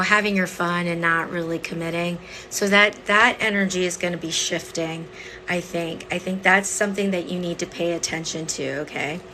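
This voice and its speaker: Woman tarot livestream host, lowish pitch, a touch hoarse, crisp bright edge, conversational with frequent check-ins. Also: slight background noise, rough recording. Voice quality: slightly hoarse. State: voice partly lost, hoarse. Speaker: tarot reader hosting a livestream. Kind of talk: casual live tarot reading, chatting with viewers.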